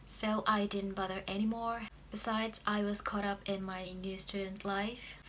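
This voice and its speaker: Woman, twenties, Indonesian accent, mid-pitched, personal vlog storytelling, slight room noise behind. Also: level tone, normal speed, a bit bright. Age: 20s. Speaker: vlogger telling personal story. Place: small plain room indoors.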